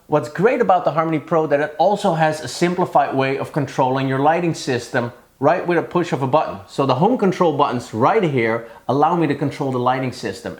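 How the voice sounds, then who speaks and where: A man in middle age explains a product demonstration step by step. His voice is somewhat high for a man. The sound is clearly echoey, as in a living room. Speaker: product demo presenter.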